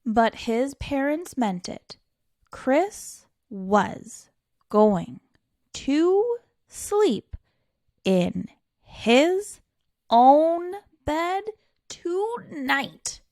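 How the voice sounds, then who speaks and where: A woman with a fairly high voice reads a picture book aloud to young children. She is theatrical and unhurried, stretching key words and pausing for drama. The recording is close and dry. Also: big pitch swings, darkish tone, dry recording. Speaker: storytime reader.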